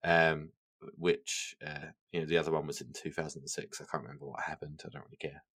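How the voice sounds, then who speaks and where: Sex: man. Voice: low, rambling. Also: little pitch movement, fast talker, fairly bright tone. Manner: rambling, self-mocking, full of fillers. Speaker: podcast co-host. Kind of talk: casual chat.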